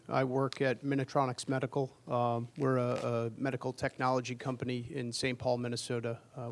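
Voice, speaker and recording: Flat-voiced man, halting and self-deprecating. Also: medium pitch. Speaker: corporate panelist, former Marine. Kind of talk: self-introduction on a panel. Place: conference panel in front of an audience.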